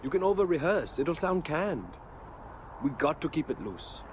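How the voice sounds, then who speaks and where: Lively, confident businessman. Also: on the high side, moderate pace, a little hiss or hum. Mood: encouraging. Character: breezy, confident male office worker coaching a colleague. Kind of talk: video game background dialogue.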